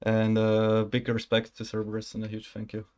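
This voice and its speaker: A man's voice: lowish voice, completely flat delivery, normal speed, a little mellow. A man hosting an esports stream, interviewing in an informal, appreciative, chatty way. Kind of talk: casual esports interview chat.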